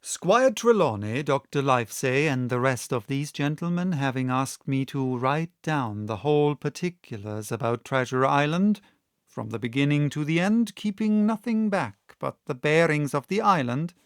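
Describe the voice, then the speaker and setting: A man hosting and reading audiobooks, speaking deliberately in short, separated phrases. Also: medium pitch, lively intonation, smooth, no echo, clean studio sound. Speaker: audiobook reader and channel host. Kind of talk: casual introduction and expressive audiobook narration.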